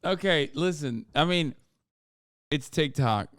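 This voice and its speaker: Profane, animated male streamer reacting. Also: fairly high pitch, slow, clean tone, no room sound, studio quality. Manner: blunt, profane, animated and self-mocking. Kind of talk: live reaction commentary.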